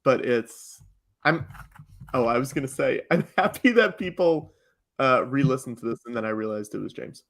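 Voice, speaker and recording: A man talking casually as a podcast guest, in a joking, animated way with chuckles slipping into his speech. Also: mid-range voice, normal speed. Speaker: podcast guest and live improv comedy performer.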